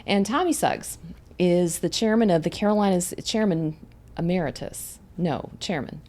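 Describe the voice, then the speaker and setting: Woman host w a low voice, reading guest bios, friendly. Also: expressive, normal speed, a little mellow. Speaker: podcast host. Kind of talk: podcast interview.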